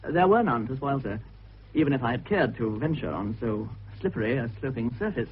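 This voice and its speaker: A man's voice: mid-range voice, animated, brisk, slight background noise, lightly acted. An actor playing a self-assured, formal man answering questions in a British radio drama, precise and unruffled. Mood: crisp, dry. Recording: tinny narrow radio recording.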